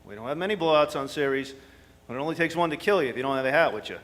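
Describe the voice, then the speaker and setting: Man acting a bluff, high-voiced space mining boss. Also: lively intonation, very fast, fairly bright tone, slight background noise. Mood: brisk, wry. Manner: bluff, bossy, wry. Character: brisk senior asteroid mining supervisor, worldly and gruffly friendly. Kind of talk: radio drama acting.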